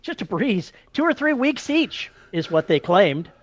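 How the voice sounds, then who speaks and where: A man's voice: high voice, very animated, measured pace. A man co-hosting a podcast, telling a story in a dry, matter-of-fact way. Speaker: podcast co-host. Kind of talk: casual chat.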